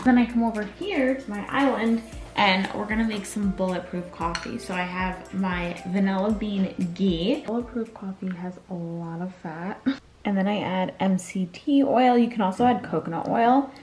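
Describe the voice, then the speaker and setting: A young woman vlogging at home, chatty and relaxed, walking through her evening and morning routine and talking to her viewers. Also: mid-range voice, normal speed, over music. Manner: chatty, relaxed, says "like" and "you guys" often. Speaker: lifestyle vlogger. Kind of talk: casual chat to camera, showing a routine. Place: her home, bedroom and kitchen.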